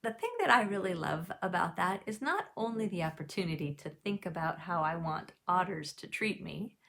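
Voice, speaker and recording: A woman leading a drawing lesson, explaining each step simply and with gentle encouragement, as if to children. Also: on the low side, expressive, moderate pace, gravelly. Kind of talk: instructional demonstration, step-by-step.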